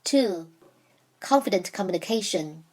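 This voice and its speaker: A woman whose first language is Chinese, teaching Mandarin in a scripted lesson, speaking in a measured, clearly enunciated way and slowing down for example sentences. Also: mid-range voice, lively intonation, a little mellow, low-quality recording.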